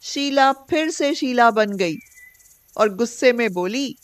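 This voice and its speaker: A woman's voice: a little high, expressive, slow pace. A woman reading scripted story narration as a storyteller.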